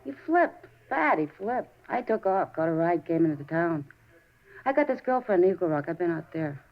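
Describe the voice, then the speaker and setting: An actress playing a streetwise, sullen teenage runaway in a 1950s radio crime drama, with cocky, slangy back-talk. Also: female voice, fairly low pitch, big pitch swings, moderate pace. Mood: flippant, offhand. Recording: dull 1950s radio recording.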